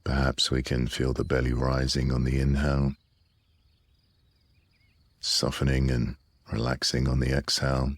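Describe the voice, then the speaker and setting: An extremely low-pitched man guides a relaxation meditation. His delivery is soothing and unhurried, with long pauses between phrases and very little rise or fall in pitch. The recording is close and dry, with no room sound. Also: monotone, no echo.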